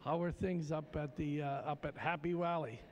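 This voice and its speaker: A man's voice: fairly high pitch, fairly even intonation, moderate pace. An older man from Pennsylvania hosting a live telethon, chatting folksily with plenty of fillers. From Tamaqua, Pennsylvania. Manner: folksy, hesitant with many "uh" fillers. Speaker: telethon host. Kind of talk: live TV interview.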